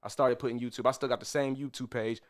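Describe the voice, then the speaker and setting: A man's voice: medium pitch, fairly even intonation, quick pace. A man in his thirties, a podcast guest telling his story in a boastful, profane, hyped-up way. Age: 30s. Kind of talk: casual interview chat.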